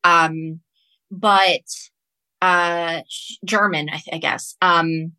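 A woman's voice: lowish voice, fairly even intonation, sharp tone, no echo, low-quality recording. A woman from Ecuador, a non-native English speaker, chatting as a guest author on a podcast, often doubling back and repeating phrases. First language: Spanish. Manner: halting, self-correcting, repeats phrases. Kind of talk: casual podcast interview, storytelling.

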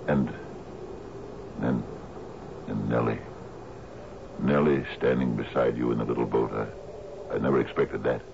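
Gravelly, very deep old sea captain. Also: male voice, heavily acted. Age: 50s. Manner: theatrical, musing, punctuated with questioning grunts. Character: expansive, dreamy old sea captain in his fifties. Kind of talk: radio drama acting. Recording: dull, noisy 1970s radio recording.

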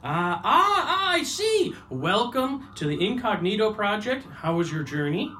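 Slippery flustered salesman in audio comedy, super animated, bright voice, some noise behind. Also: high voice, unhurried, heavily acted. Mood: evasive, sheepish. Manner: glib, evasive, hesitant then pushy. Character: slippery, flustered male salesman of fake disappearances. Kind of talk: comedic audio drama acting.

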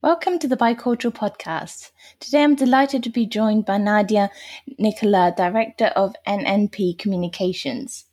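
A woman hosting a podcast interview, upbeat and encouraging, her pitch rising on her questions. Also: moderate pace, a little mellow, slightly rough. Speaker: podcast host.

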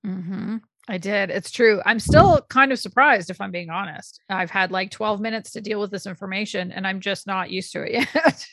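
A woman's voice: mid-range voice, moderate pace, hardly any pauses, a bit bright, no echo. A woman co-hosting a podcast in casual chat, asking curious, teasing questions and laughing often. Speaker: podcast co-host.

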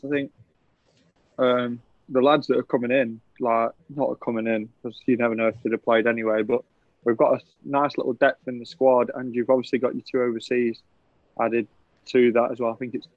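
A man's voice: mid-range voice, dry recording. Young sportsman as podcast guest, easygoing and chatty, muffled dry recording. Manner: relaxed, chatty, laughs often. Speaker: professional cricketer guest. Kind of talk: casual podcast interview. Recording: dull, lo-fi, dry sound.

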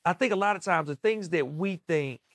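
A man from the Maryland area with a Southern accent, hosting a podcast interview, talking fast and enthusiastically. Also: sits high, fairly bright tone, dry recording, clean studio sound. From Maryland, DMV area. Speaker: podcast host.

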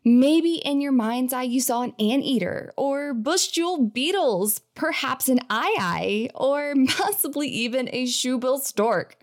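A woman hosting a podcast, reading an upbeat intro and chatting with a guest in a bubbly, enthusiastic way. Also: sits high. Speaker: podcast host.

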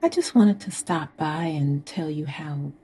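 An actress playing a flirtatious, scheming married woman of wealth in an audio drama, with a husky, breathy voice and coaxing manner. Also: deep voice, unhurried, dark tone, full character voice. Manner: seductive, coaxing. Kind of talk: modern audio drama acting.

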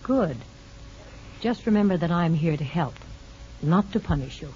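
An actress playing a brisk, firm but kindly women's prison official in a measured 1950s radio drama style. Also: female voice, fairly low pitch, animated, dark tone, slight background noise, lightly acted. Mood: stern, businesslike. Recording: muffled old radio broadcast with music.

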